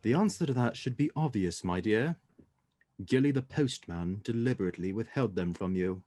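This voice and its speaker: Mellow, commanding gentleman detective played by a man. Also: fairly low pitch, expressive, dry recording. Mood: grave, deductive. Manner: formal, measured, assured. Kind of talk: radio drama acting.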